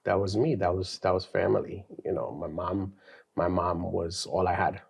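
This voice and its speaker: A man co-hosting a faith podcast, sharing his childhood story in a casual, animated way with some slang. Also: low voice, moderate pace, gravelly. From New York. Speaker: podcast co-host. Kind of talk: casual testimony chat.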